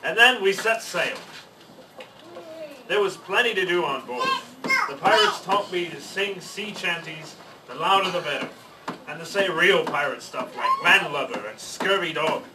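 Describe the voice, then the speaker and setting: A man in pirate costume reading a picture book aloud to children, shouting the pirates' lines in a gruff voice. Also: sits high, expressive, measured pace. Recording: roomy, distant, faint background noise.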